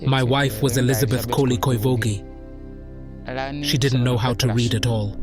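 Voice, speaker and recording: A man's voice: mid-range voice, steady tone, moderate pace. Man narrating testimony over prominent music.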